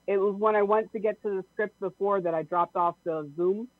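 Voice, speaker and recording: Male cast member: high voice, practical casual talk, dry phone-like audio. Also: fairly even intonation, brisk, dry recording. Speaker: cast member reading stage narration. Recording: tinny, phone-like video-call audio.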